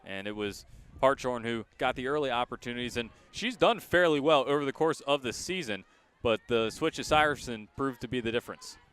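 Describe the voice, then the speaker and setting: A man calling live college lacrosse as a radio play-by-play announcer, in crisp short present-tense phrases, outdoors at a sports field. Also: medium pitch, lively intonation, fairly quick, a bit bright.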